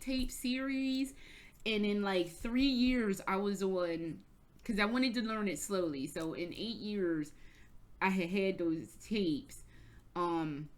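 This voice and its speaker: American woman, vlog storytelling, rambling, casual, profane, frequent restarts. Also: fairly low pitch. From United States. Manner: rambling, swears freely, many restarts and "like"s. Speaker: vlogger. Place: indoors, travelling in Germany.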